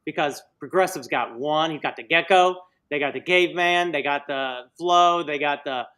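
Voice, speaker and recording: A man with a fairly high voice hosts a loose livestream chat. He rambles from topic to topic and cracks jokes. Now and then he drops into goofy put-on voices. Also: moderate pace, fairly bright tone, clean tone. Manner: jokey, rambling, puts on silly voices. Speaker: livestream host. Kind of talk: casual livestream banter.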